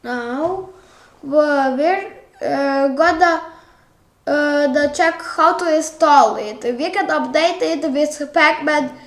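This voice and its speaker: High, halting Ukrainian-accented tutorial voice. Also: female voice. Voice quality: childish-sounding voice. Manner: hesitant, halting, many fillers and restarts. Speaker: tutorial host. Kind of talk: screen-recorded software tutorial. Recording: echoey room.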